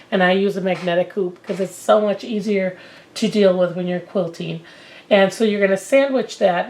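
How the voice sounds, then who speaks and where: A woman in her forties talks through a sewing lesson while getting over a cold. She rambles in a relaxed way, with plenty of ums and false starts. The camera mic catches the sound of a cluttered home sewing room. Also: mid-range voice. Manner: chatty, many fillers and restarts. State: recovering from a cold. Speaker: sewing instructor. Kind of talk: casual how-to demonstration.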